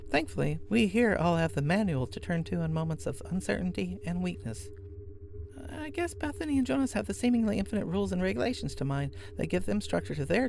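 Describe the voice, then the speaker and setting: A woman's voice: lowish voice, gravelly, darkish tone, a little hiss or hum. A woman hosting a podcast who recommends a show in a chatty tone with wry asides. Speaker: podcast host. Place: workplace, on lunch break.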